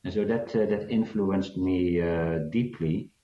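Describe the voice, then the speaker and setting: A man's voice: sits low, measured pace, mellow. A man from South Africa, a guest author, answering interview questions in a hesitant, reflective way with many fillers. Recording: dull, roomy sound.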